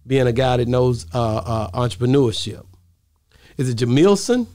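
A man's voice: medium pitch, expressive, normal speed, warm tone, dry recording, clean studio sound. A man in his thirties hosting a podcast, chatting casually in a laid-back, rambling way with many fillers. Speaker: podcast host. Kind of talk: casual interview chat. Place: podcast studio, indoors. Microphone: studio mic on arm, headphones.

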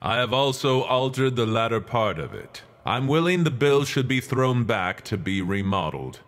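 A man performing a dramatic reading as a stern, resolute 19th-century religious leader, orating in a formal, measured, declamatory manner. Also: mid-range voice, very animated, fairly bright tone. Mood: stern, insistent. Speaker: reader.

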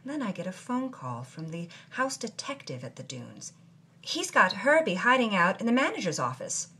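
A woman plays a brassy young starlet recounting her misadventures with wry, theatrical flair. Her voice is a little husky, and her pitch rises and falls in a lively, animated way. The delivery is scripted audio drama narration. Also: normal speed, full character voice. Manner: wry, dramatic storytelling with period slang. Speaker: lead character narrating from her journal.

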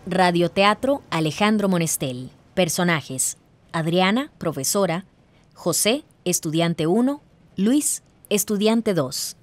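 A woman's voice: lowish voice, lively intonation, slow pace. A woman presenting a programme in scripted narration.

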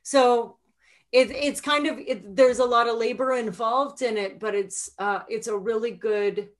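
A woman's voice: on the high side, fairly even intonation, a little slow. A woman in her forties, an author answering questions conversationally on a video call from home. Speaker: author guest. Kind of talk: conversational book-event Q&A. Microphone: video call computer mic.

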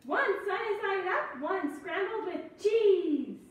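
A man voicing an excitable, mischievous French chef puppet in a silly, exclaiming way for a children's show. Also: very high-pitched, slow, voice pushed up, exaggerated voice. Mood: eager, mischievous. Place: home kitchen. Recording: echoey room, distant microphone.

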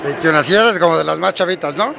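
A man's voice: a little high, fast, gravelly, crowd noise. A man with a Mexican accent interviewing people casually, brash, teasing and full of slang and swearing, on a handheld mic. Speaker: host interviewing players. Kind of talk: joking, casual sideline interview. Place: large indoor arena event floor. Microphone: handheld microphone. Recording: noisy indoor event venue.

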